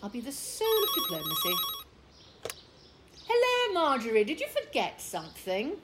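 An actress playing an indignant, fussy British village wife in a radio play, with a theatrically polite manner. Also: female voice, high voice, wildly swooping pitch, normal speed, heavy background noise. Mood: brightly polite. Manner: theatrical, exaggeratedly polite on the phone.

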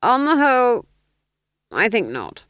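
A woman's voice: on the high side, huge pitch swings, unhurried, a bit bright, no echo, studio quality, pitched above the performer's own voice, exaggerated voice. A woman voicing a scheming middle-aged male duke who commands with falsely friendly, silky menace. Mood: silkily coaxing.

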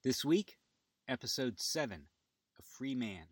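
A man's voice: mid-range voice, very animated, a little slow. A man announcing titles and credits for a radio drama in a scripted style.

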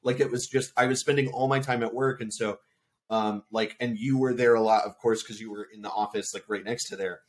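A man's voice: on the low side, steady tone, a little fast. A man in casual podcast chat, talking in a meandering, reflective way.

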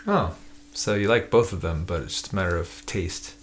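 A man co-hosting a podcast, chatting casually in a relaxed, self-deprecating way. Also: low voice, moderate pace, a little hiss or hum. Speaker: podcast co-host. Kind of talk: casual chat.

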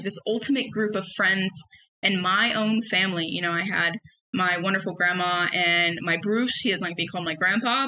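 A young woman with a loud, emphatic voice, telling personal stories in a casual solo podcast. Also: mid-range voice, slight background noise, low-quality recording. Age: young adult. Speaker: podcast host.

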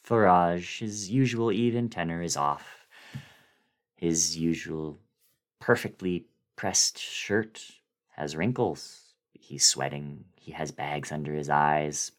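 A man with a deep voice reads a horror story aloud as a dramatic performance. His delivery is deliberate and brooding, moving slowly and swinging widely in pitch as the emphasis swells. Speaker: dramatic reader.

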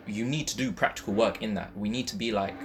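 Deep-toned young man, casual. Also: fast talker, slight background noise. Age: young adult. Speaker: podcast co-host, university student. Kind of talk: casual chat.

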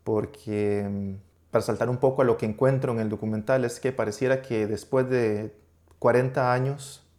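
A middle-aged man from Costa Rica, a guest talking about his documentary in a casual interview, often pausing and restarting his sentences. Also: mid-range voice, steady tone, a little mellow. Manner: hesitant, many restarts and fillers. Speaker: guest, documentary filmmaker and media professor.

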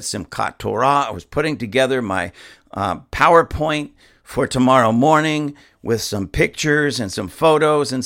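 A hoarse male pastor rants on his podcast, indignant and emphatic, repeating himself for force. His voice is gravelly, with fry at the ends of phrases, and sits at an average pitch for a man. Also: a bit bright. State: dusty, hoarse throat. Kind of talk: impassioned podcast commentary.

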